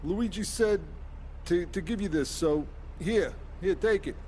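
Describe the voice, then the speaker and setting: A man playing a meek gangster's errand runner in a video game, halting and stammering. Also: high-pitched, dark tone, a little hiss or hum, rough recording. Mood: nervous. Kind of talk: video game cutscene acting.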